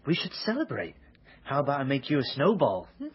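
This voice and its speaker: A man's voice: medium pitch, huge pitch swings, a little fast, breathy edge, dull audio. An actor playing a sardonic young male barman and sidekick in a comic radio drama, with a dry, teasing manner. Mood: casual, prodding. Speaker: sidekick character.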